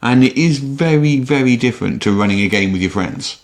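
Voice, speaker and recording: A man's voice: mid-range voice, animated, fairly quick. A British man, a podcast host, talking in a casual, conversational way.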